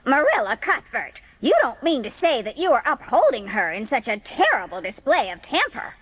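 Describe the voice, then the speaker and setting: A woman's voice: fairly high pitch, very animated, normal speed, fairly bright tone, slightly rough, full character voice. A woman playing a haughty, easily offended elderly village busybody in a dramatised audiobook, pompous and emphatic. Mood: affronted.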